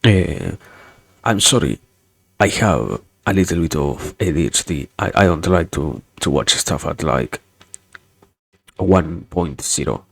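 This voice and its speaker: A man's voice: low-pitched, animated, takes it slowly, creaky, no echo. A young man with a non-native English accent, chatting on a livestream in a hesitant, rambling way, repeating words and getting wryly sarcastic. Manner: wry sarcasm. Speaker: virtual streamer. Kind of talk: casual livestream chat.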